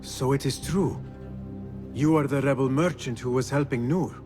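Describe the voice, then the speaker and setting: An actor playing an earnest, humble and determined young assassin in a video game cutscene. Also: male voice, medium pitch, moderate pace, a little mellow, soft background music, close to an everyday voice. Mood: earnest, composed.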